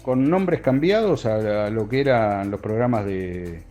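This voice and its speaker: Man in an interview, warm soft tone, kinda halting, music under him. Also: on the low side, expressive. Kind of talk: reflective interview recollection.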